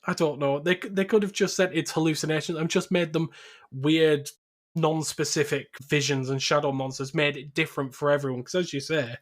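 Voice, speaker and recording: A man's voice: on the high side, fairly even intonation, brisk. A man talking casually as a podcast host about film, opinionated and swearing freely.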